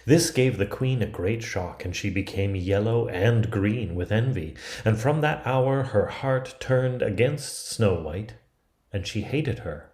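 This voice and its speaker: A man in his fifties reading a storybook aloud in a measured, expressive, dramatic way. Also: fairly low pitch. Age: 50s. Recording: roomy, echoing sound.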